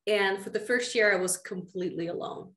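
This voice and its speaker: A woman's voice: medium pitch, fairly quick, fairly bright tone. A young woman from Russia, a guest author, chatting candidly over a video call from a small room. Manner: candid, chatty storytelling with light laughs. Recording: echoey room.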